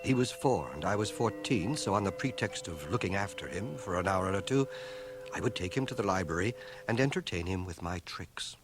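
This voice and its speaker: A man's voice: deep voice, lively intonation, brisk. An actor playing a grown man looking back on his boyhood, narrating a radio drama in a reflective way. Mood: reflective, measured. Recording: 1980 radio drama from home cassette transfer. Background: music.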